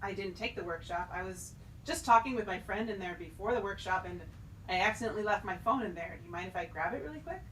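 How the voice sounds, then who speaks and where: A woman's voice: mid-range voice, fast talker, fairly bright tone, a little hiss or hum, lightly acted, poor audio. An actress playing an uneasy, watchful woman investigator who tells her story in a scripted audio drama. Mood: nervous. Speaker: narrator and lead character of an audio drama. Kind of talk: scripted first-person narration and dialogue.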